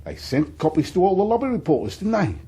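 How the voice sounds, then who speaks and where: An actor playing a cheeky, wheedling wheeler-dealer in a radio drama, with a husky voice and a London Cockney accent. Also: male voice, high voice, big pitch swings, fast talker, dark tone, exaggerated voice.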